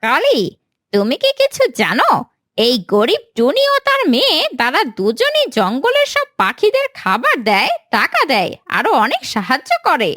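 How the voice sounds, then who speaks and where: Scheming cartoon crow: extremely high, wildly animated, greedy and gossipy. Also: female voice, moderate pace, dry recording, studio quality, exaggerated voice. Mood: conspiratorial.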